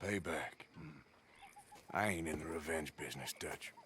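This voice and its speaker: An actor playing a gruff, sceptical American outlaw gunman with a dry, sardonic manner in a western game. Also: very deep voice, unhurried, background noise. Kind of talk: western game cutscene acting.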